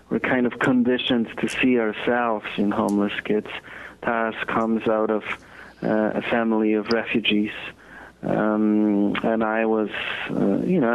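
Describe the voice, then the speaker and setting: Low-voiced Polish-accented man, dull recording. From Poland. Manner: measured, halting, many fillers. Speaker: guest, foundation co-founder. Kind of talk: reflective interview answers. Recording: dull lo-fi audio.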